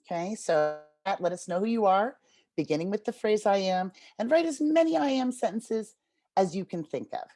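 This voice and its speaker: Mellow-voiced woman guiding a workshop. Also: mid-range voice, animated, moderate pace. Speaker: workshop facilitator. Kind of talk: guiding an online group writing activity. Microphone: video call.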